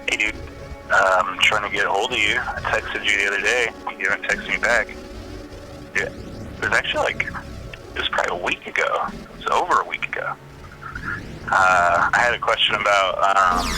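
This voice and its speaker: A casual, mildly reproachful buddy played by a man leaves a voicemail in an audio drama. His voice is on the deep side, halting and rambling, with music clearly behind it. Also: lightly acted, rough recording. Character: music-nerd male friend, mildly reproachful.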